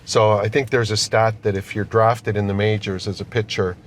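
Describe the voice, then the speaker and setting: A man's voice: fairly low pitch, flat delivery, normal speed. A middle-aged man, a company executive, answering questions in casual conversation during an interview inside a car. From born in Austria, immigrant to Canada. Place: inside a car being driven. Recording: noisy moving vehicle interior.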